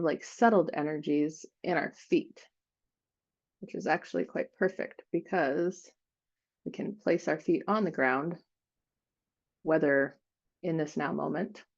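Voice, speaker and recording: Woman doing guided meditation, kinda low voice w lots of vocal fry, gentle n pausey, slightly muffled recording. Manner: gentle, unhurried phrasing with frequent pauses. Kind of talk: guided meditation, improvised visualization.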